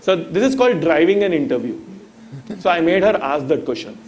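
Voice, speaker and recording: A man's voice: a little high, lively intonation, fairly quick, a little mellow, a little hiss or hum. A man in his thirties with an Indian accent, speaking as a guest in a motivational talk, teaching through stories and rhetorical questions. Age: 30s. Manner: didactic, storytelling, rhetorical questions.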